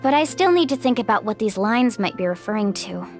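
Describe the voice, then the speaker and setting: A woman's voice: medium pitch, lively intonation, a little fast, natural voice. An actress playing a friendly, encouraging young woman guide who leads the group in a video game. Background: game music.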